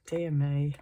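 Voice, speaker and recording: Hoarse, chatty woman crafting. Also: low voice, darkish tone. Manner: chatty, self-mocking, muttering to herself. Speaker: craft channel host. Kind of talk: casual crafting chat while working.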